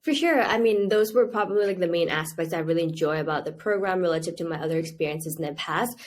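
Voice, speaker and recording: A woman's voice: lowish voice, fast talker, slight background noise. A young woman from Thailand, a university student, talking earnestly in a casual interview, with a lot of "you know" and "like". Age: 20s. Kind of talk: casual video-call interview.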